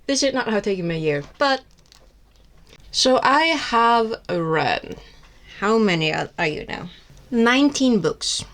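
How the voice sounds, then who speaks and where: A woman's voice: a little high, lively intonation, measured pace, pauses often, fairly bright tone. A woman from Iceland with an Icelandic accent, whose first language is Icelandic, candidly reviewing books on her vlog in a wry, rambling way with many restarts. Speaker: book vlogger. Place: seated indoors with books on her lap.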